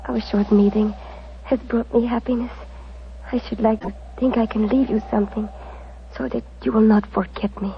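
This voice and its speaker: Casting: woman to play dying Romany fortune-teller, tender and solemn, breathy failing voice; dull 1940s radio sound with light noise. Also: a little high, steady tone, close to an everyday voice. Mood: tender, fading. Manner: slow, solemn, tender delivery. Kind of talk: 1940s radio drama acting. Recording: muffled, noisy 1940s radio recording.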